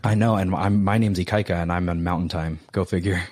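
Very deep-voiced easygoing man in his thirties. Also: fairly even intonation, fast talker, darkish tone, no room sound, clean studio sound. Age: 30s. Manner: laughing, easygoing, rambling with restarts. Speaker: podcast guest. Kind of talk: casual interview chat.

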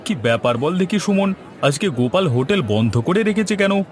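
A man's voice: high-pitched, big pitch swings, normal speed, a faint crowd, slight background noise. A man voicing a greedy, scheming, gloating hotel boss, a cartoon villain. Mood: bossy, conniving. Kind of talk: Bengali cartoon voice acting. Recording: background music under voice.

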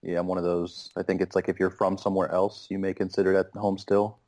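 A man's voice: deep voice, little pitch movement, fast talker, darkish tone. A man in his thirties from Pittsburgh, a podcast guest chatting casually and rambling with many ums. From Pittsburgh, USA. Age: 30s.

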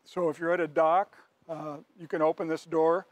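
A man's voice: flat delivery, moderate pace, noisy background. An older man as a salesman, walking through a boat in a large indoor showroom with a clip-on mic, talking in a friendly, plain way. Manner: friendly, plain sales pitch with some fillers. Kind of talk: product walkthrough.

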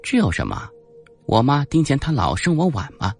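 A man's voice: medium pitch, huge pitch swings, moderate pace, creaky, slightly rough, soft background music, pitched above the performer's own voice, full character voice. A man voicing a brash, glib, chatty young woman reporter in an audiobook. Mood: brash, bluffing. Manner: pushy, glib.

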